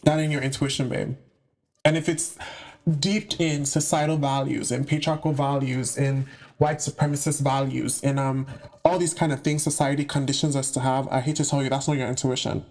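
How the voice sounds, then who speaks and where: A man's voice: medium pitch, steady tone, moderate pace, a little mellow. A young man from the UK giving a casual, slangy monologue to camera about spiritual topics, with playful asides. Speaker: spiritual content creator.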